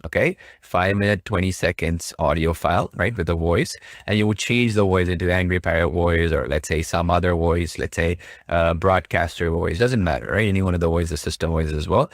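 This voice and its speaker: A man casually narrating a software tutorial, explaining step by step with repetition and frequent check-in questions. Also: low-pitched, no room sound, clean studio sound. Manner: repetitive, explanatory, often checking with "right?" and "okay?". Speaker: tutorial presenter.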